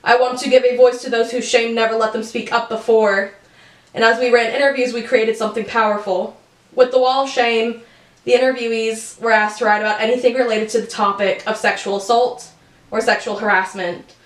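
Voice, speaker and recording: An earnest young woman narrates and asks questions in a measured way. Her voice sits a little high. The room around her echoes noticeably. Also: level tone. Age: young adult. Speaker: interviewer and documentary narrator. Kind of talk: scripted narration and interview questions.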